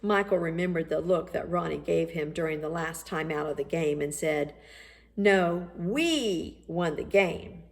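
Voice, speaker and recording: Female children's storybook narrator, slightly low pitch, unhurried, expressive read. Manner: expressive, deliberate. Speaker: storybook reader.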